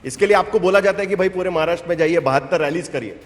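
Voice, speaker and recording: A man's voice: high-pitched, rapid-fire. A man from India working as a journalist, putting probing political questions insistently and pressing by repeating them. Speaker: journalist interviewer. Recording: reverberant hall sound.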